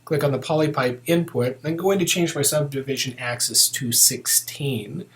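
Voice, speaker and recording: A man with a mid-pitched voice talks through a software tutorial step by step. He sounds casual and methodical, thinking aloud as he instructs. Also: moderate pace.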